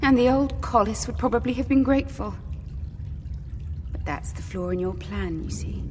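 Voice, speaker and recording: A woman's voice: fairly high pitch, normal speed, over music, close to an everyday voice. An actress playing an aggressive, defiant woman back from the dead, intense and dramatic, in an audio drama. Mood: simmering anger. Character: resurrected woman, aggressive and defiant, newly filled with dark power.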